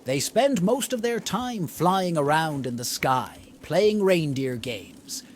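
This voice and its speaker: A man telling a holiday story in character, in a theatrical, deliberate way. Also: on the high side, expressive, a little hiss or hum. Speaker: storyteller in a roleplay story event.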